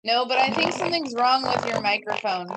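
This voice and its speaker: Woman student, plain and polite, lively gravelly voice on a call. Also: medium pitch, fairly quick, background noise.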